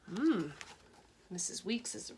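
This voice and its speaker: A woman teacher reading a picture book aloud, expressive and dramatic, shifting her tone for suspense. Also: mid-range voice, slow pace, heavy background noise.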